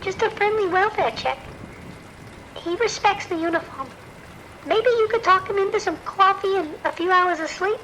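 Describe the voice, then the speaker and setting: A woman's voice: very high voice, normal speed, fairly bright tone, a little hiss or hum, low-quality recording. A woman playing a worried, kind-hearted hotel worker in an audio drama, hesitant and familiar in her pleading. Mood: worried, sympathetic. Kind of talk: naturalistic audio drama acting.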